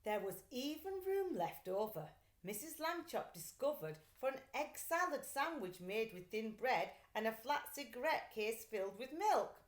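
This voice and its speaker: A woman reading a children's story aloud like a teacher, with deliberate, clear phrasing and expression. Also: fairly high pitch. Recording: echoey room.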